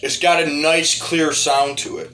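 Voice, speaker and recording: A man giving a casual, rambling demo of music gear, halting and repeating his phrases as he goes. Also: fairly high pitch, flat delivery, bright, forceful tone, poor audio. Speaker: hobbyist musician reviewing talk box gear.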